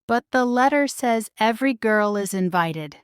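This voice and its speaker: Kind young storybook heroine, acted by a woman: earnest, sweet, clear-voiced, dry recording. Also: on the high side, lively intonation, moderate pace, dry recording, close to an everyday voice. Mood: gentle protest. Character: kind, dreamy, gentle young servant girl.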